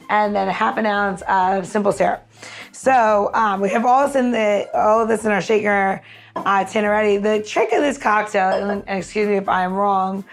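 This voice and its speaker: Informal, playful woman podcast host. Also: mid-range voice. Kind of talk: casual chat with recipe walk-through.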